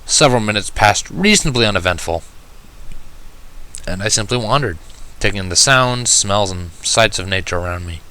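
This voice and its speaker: Bright-voiced man reading a story. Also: on the low side, animated, normal speed, clean tone. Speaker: fan fiction reader. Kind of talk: first-person audiobook-style story narration.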